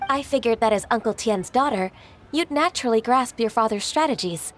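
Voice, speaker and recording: A gentle, modest secretary and mentor played by a woman in an anime game. The voice sits fairly high and speaks with composed, measured politeness. Music plays underneath. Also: animated, close to an everyday voice. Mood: composed explaining. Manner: polite, measured, soft-spoken formality. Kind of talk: anime video game dub acting. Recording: music behind.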